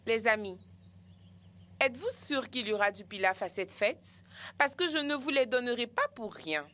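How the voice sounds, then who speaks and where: A woman's voice: a little high, lively intonation, normal speed, a bit bright. A woman voicing a bargaining, food-loving fruit keeper in a French-dubbed children's cartoon. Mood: bargaining, firm. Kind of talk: educational cartoon dub.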